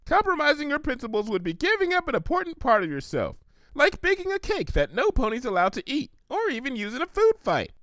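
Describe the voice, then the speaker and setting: A man's voice: very high voice, wildly swooping pitch, fairly quick, fairly bright tone, no echo, pitched above the performer's own voice, exaggerated voice. A man voicing a perky, earnest young female cartoon pony with a gushing, sing-song delivery for a story. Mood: bubbly.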